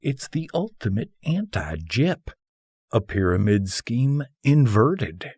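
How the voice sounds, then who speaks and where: A man reading a humorous philosophical essay as scripted audiobook narration, with husky, measured, deliberate phrasing. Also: medium pitch, very animated, darkish tone, no room sound.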